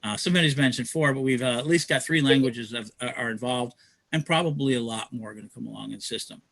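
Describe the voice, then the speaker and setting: A man's voice: medium pitch, fast, gravelly, a bit bright, dry recording. A man hosting a livestream, who talks about community projects in a rambling, enthusiastic way. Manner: rambling, enthusiastic, many restarts. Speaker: host. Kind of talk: promotional livestream talk.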